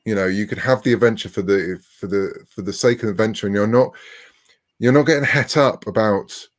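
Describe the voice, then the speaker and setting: A man's voice: lowish voice, fairly quick. A man in his forties speaking conversationally as a writer being interviewed. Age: 40s. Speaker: interviewed writer of comics and novels.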